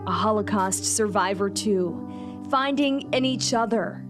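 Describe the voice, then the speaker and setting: A woman reporter reading scripted news feature narration in a solemn, dramatic, measured way. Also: medium pitch. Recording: music bed under voice-over. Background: instrumental music.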